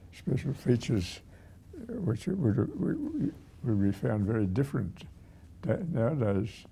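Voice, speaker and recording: A man of about a hundred with a husky, aged voice, reminiscing haltingly as a retired museum director. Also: medium pitch, mellow. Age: 100. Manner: halting, with repeated words and pauses.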